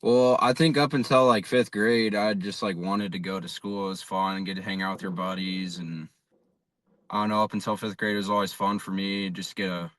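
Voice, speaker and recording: A man's voice: sits low, steady tone, brisk, fairly bright tone, a little hiss or hum. A young man from South Dakota with an American accent, giving plain-spoken, casual answers as a baseball player being interviewed. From South Dakota, USA. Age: young adult. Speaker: college baseball pitcher, interview guest.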